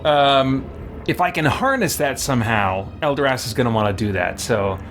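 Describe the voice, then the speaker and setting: A man's voice: mid-range voice, lively intonation, normal speed, fairly bright tone, a little hiss or hum. A man streaming a game with casual roleplay commentary, musing and thinking aloud. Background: game music.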